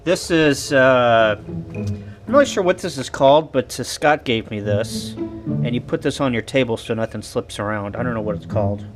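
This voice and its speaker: A man doing a casual show-and-tell to camera in a halting, list-like delivery. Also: mid-range voice, over music. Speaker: vlogger.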